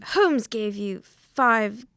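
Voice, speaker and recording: A woman acting a curt, suspicious wealthy gentleman in an amateur audio drama, guarded in manner. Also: on the high side, animated, drawn-out words, no echo, clean studio sound, full character voice.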